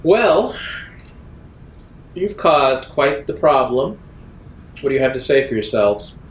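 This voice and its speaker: A man voicing a stern, authoritarian female security captain in a tabletop game, with a measured, accusing manner. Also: mid-range voice, big pitch swings, echoey room. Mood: stern, cool.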